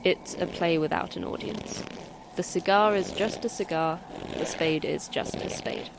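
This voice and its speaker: A woman's voice: fairly low pitch, measured pace, gravelly, noisy background. An actress playing a terse, sardonic woman who manages a secret facility, with clipped, dry delivery in a sci-fi audio drama.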